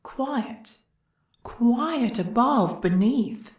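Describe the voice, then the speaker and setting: A woman's voice: on the high side, animated, drawn-out words, darkish tone. A woman playing an anxious mourner in a tragedy chorus, speaking solemn, imploring verse. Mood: hushed. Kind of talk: verse stage drama. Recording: echoey room.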